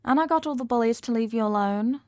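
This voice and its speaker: A woman's voice: a little high, fast, a little mellow, no echo, studio quality, close to an everyday voice. A woman acting a possessive, manipulative rich young girlfriend in an intimate audio roleplay, talking down in a coaxing, teasing way with veiled threats. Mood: exasperated, possessive.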